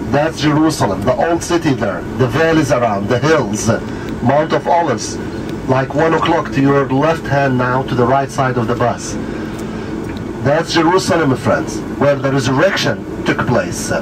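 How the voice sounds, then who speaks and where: A middle-aged man, guiding a bus tour in a fervent, preacher-like way with repeated rhetorical questions. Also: medium pitch. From Greece. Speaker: tour guide. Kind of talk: guided tour commentary. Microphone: handheld mic, heard from camera a few rows back. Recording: distant voice over loud bus noise.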